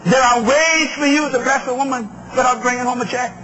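A man's voice: very high voice, fairly even intonation, a little fast, muffled recording. A man preaching to a congregation, emphatic and declamatory, in short repeated phrases with questions thrown out for response. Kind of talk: impassioned sermon to a congregation. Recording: very noisy live speech recording.

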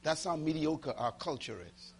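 A man preaching a motivational sermon to a live audience, firing rhetorical questions, repeating key lines and swinging from loud bursts to hushed asides. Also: on the high side, lively intonation, normal speed, a little hiss or hum. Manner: dramatic swings between loud and hushed, call-and-response questions; emphatic, repeating key phrases.

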